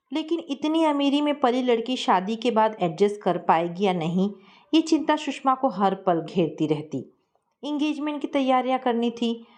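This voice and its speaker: A woman telling a scripted family story in a steady, measured way. Her voice sits a bit higher than most women's.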